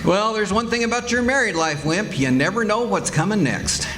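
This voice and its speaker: A man's voice: high voice, animated, moderate pace, fairly bright tone. A man playing a blustery, boastful, argumentative husband in 1940s-style radio comedy, with a folksy way of talking. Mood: wry, chummy. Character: blustery, boastful husband Fibber McGee, a stubborn bickerer. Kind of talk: 1940s radio comedy acting before an audience.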